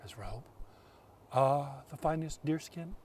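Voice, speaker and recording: A man's voice: on the high side, animated, slow, darkish tone, far from the mic. A man voicing an eager young village woman who bluffs nervously and hesitantly in a told folk tale. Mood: nervous, flustered.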